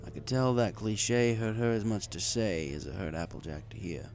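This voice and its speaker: A man's voice: on the low side, lively intonation, normal speed, a little mellow, soft background music. A man reading a first-person story in a wry, conversational way. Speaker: story reader. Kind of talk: first-person story narration with acted dialogue.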